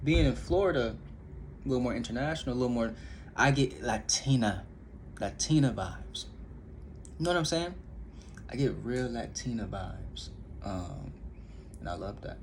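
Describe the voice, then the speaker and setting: A man's voice: mid-range voice, expressive, normal speed, pauses often, a little hiss or hum. A young man from Indiana talking candidly to camera in a loose, rambling vlog, stopping and restarting often with lots of fillers. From Indiana, USA. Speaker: vlogger. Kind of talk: casual rambling monologue.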